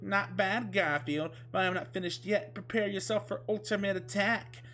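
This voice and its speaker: A man voicing a pompous, vengeful villain prince in a theatrical, yelling manner for a comic story reading. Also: high-pitched, fairly even intonation, moderate pace, soft background music, voice pushed up. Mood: gloating.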